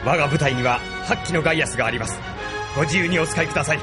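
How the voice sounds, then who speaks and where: A man's voice: a little high, very fast, close to an everyday voice. A man acting a deferential military officer in a radio drama, speaking with respectful formality over music.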